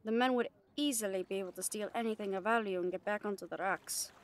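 A woman's voice: mid-range voice, fast talker, lightly acted. A woman playing a fierce, loyal catgirl pirate first mate in a roleplay audio drama, earnest and plain-spoken. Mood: determined, defiant. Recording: voice over background music. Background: music.

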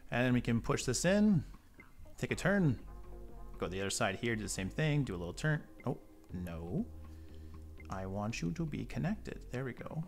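A man's voice: mid-range voice, sing-song, moderate pace, gravelly, pauses often, faint music behind. A man with a stuffy nose from a cold, hosting a model-building tutorial and chatting casually with rambling asides as he works at a table. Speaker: model-building channel host. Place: indoor tabletop workbench.

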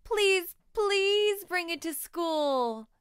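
A woman voicing an excitable, curious young schoolchild in an emphatic, childlike storybook manner. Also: extremely high pitch, very slow, no room sound, clean studio sound, voice pushed up, heavily acted. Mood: pleading.

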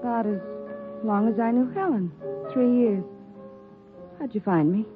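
A woman's voice: on the high side, a little slow, close to an everyday voice. Wary piano store clerk , played by a woman, tinny old radio sound, piano music behind. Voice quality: hesitant, wary. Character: hesitant female piano store clerk, reluctant to accuse. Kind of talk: 1940s radio acting.

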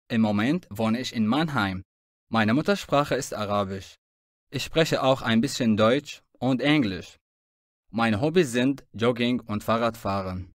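A man's voice: mid-range voice, dry recording, studio quality, natural voice. Man as a young man introducing himself. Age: 20s. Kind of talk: scripted self-introduction for learners.

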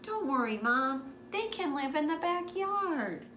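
A woman's voice: high-pitched, measured pace, pitched above the performer's own voice, heavily acted. A woman voicing an eager, pleading young boy in a storybook. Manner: excitable, pleading. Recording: echoey room with background noise.

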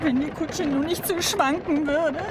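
A woman's voice: high-pitched, fairly even intonation, measured pace, very noisy. A woman playing an anxious, plaintive lady passenger in a German audio drama. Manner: fretful, plaintive. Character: anxious, wailing lady passenger in a swaying coach.

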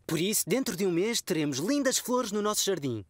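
A woman's voice: medium pitch, big pitch swings, fairly quick, a little hiss or hum, close to an everyday voice. Woman voicing a jolly cartoon dad. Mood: friendly. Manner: friendly, playful. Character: cheerful, playful cartoon father.

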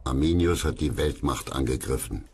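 A man reading scripted history documentary narration in a measured, grave manner. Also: rumbling low, slight background noise. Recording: loud music under narration.

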